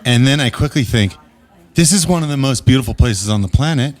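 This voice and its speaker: Guy hosting a podcast, chatty and curious, keeps asking follow-ups, mid-pitch voice. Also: expressive, fairly quick, heavy background noise. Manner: curious, encouraging, asks lots of questions. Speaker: podcast host. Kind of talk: casual interview chat. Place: backstage at an outdoor amphitheater.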